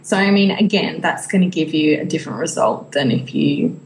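A woman's voice: deep voice, animated, a little fast, roomy sound, rough recording. A woman co-hosting a podcast, chatting casually and off the cuff. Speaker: podcast co-host. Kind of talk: casual chat.

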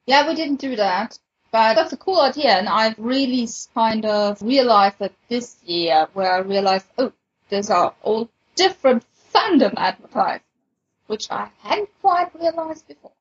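A woman's voice: fairly high pitch, animated, normal speed, slightly rough, dull audio. A woman talking casually as a participant in a podcast discussion.

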